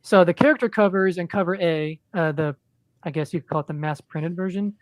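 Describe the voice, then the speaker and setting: A man chatting as a guest on a podcast, in a monotone, rambling way with lots of ums and restarts. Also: high-pitched, a little fast, dry recording. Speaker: podcast guest, indie comic creator. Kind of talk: casual podcast chat.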